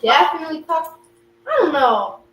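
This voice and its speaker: A young woman co-hosting a casual podcast chat, expressive and quick to laugh. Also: high-pitched. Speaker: podcast co-host. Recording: roomy echo, far from mic, faint hum.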